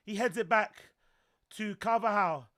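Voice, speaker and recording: A man reacting live to a football match, excitable and yelling and swearing at the screen. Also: sits high, measured pace. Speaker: streamer host.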